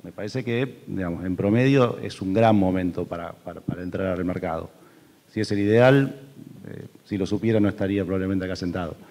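An Argentine man answers on a conference panel in a measured, hedging way. His voice sits low and sounds mellow. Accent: Argentine Spanish. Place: large conference hall stage.

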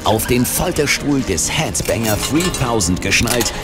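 An actor playing the solemn, melodramatic narrator of a comic crime radio play. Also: male voice, medium pitch, expressive, unhurried, over loud music, natural voice. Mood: dramatic. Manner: dramatic, solemn. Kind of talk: scripted narration.

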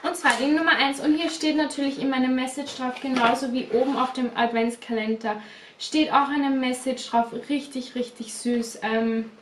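A chatty young woman in her twenties with a high voice, slightly stuffy from a cold. She talks casually with lots of fillers and repeated words. Recorded on a camera mic in a small room, so her voice sounds a bit distant and roomy. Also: steady tone, moderate pace, noisy background. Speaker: beauty vlogger. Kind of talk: casual unboxing chat. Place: small bedroom studio indoors.

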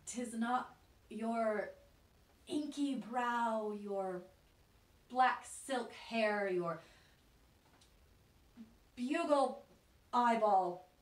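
In an echoey room, a little off the mic, an actress plays a haughty young heroine of classical theatre. She speaks her verse slowly and deliberately, with witty, mocking scorn. Her emphasis is theatrical. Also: medium pitch. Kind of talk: classical stage monologue in verse. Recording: roomy echo, distant mic, faint noise.

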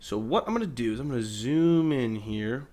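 A man's voice: medium pitch, moderate pace, heavy background noise. A man narrating a video editing tutorial in an informal, explanatory way. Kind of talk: casual screen-recorded tutorial narration. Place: indoors, voice-over at a computer.

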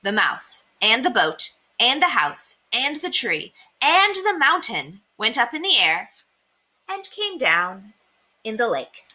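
A young woman reading children's stories aloud as an expressive storyteller. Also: fairly high pitch, takes it slowly. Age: young adult. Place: small indoor room.

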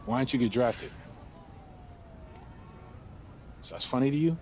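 An actor with an American accent playing an earnest, easygoing young college basketball star in a video game, talking casually and a little hesitantly. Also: male voice, mid-range voice, expressive, fast talker, darkish tone, slight background noise, close to an everyday voice. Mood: probing. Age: young adult. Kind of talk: naturalistic video game cutscene dialogue.

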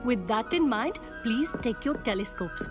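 A woman's voice: on the high side, expressive, a little slow. A middle-aged woman playing a stern, haughty astronomy professor in a video game, lecturing with theatrical precision. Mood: haughty, admonishing. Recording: clear music behind the voice.

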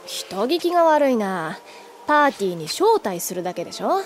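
A woman's voice: a little high, very animated, moderate pace, slight background noise. An actress playing a breezy, teasing older schoolgirl with a playful, mock-pompous way of talking in an anime audio drama.

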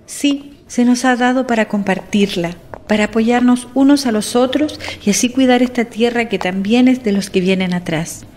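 A woman playing a gentle, gracious romantic heroine in a radio soap, sincere and sentimental in delivery. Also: on the high side, normal speed, hardly any pauses, a little hiss or hum, close to an everyday voice. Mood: gentle, accepting. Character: gentle, gracious woman parting from a man she loves.

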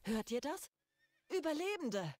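A woman's voice: high voice, big pitch swings, a little slow, a little mellow, no room sound. An actress playing an earnest, urgent female night elf soldier in a video game. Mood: urgent concern.

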